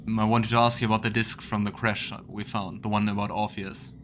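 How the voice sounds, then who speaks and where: Lowish-voiced man doing game commentary. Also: little pitch movement, fairly quick, fairly bright tone, a little hiss or hum. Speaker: let's play host. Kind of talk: casual game commentary, reading dialogue choices aloud.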